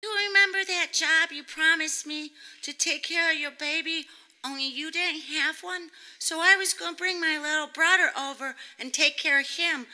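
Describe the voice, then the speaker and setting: A woman's voice: sits high, steady tone, moderate pace, pushed and bright, rough recording. Piercing, pestering little girl. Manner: childish, insistent, repeats questions. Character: pestering, mischievous little girl in old radio comedy. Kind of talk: 1940s radio comedy acting.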